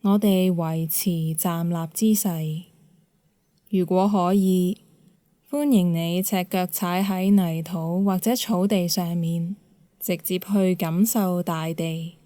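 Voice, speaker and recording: A woman meditation guide speaks in a slightly low, soft-edged mellow voice. Her delivery is calm, measured and very slow, with gentle instructions set apart by pauses. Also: no room sound.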